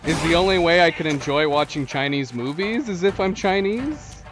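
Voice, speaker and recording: A man's voice: on the high side, animated, normal speed. Chatty American man streaming a game. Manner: chatty, laughs often, talks to chat. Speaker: gaming streamer. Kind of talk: casual live gameplay commentary. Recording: game music underneath.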